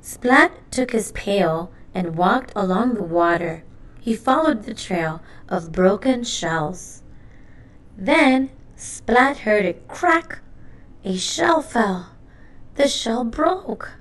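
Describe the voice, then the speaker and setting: A woman reading a children's storybook aloud in an expressive, deliberate narrating style. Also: medium pitch, low-quality recording. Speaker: storybook reader.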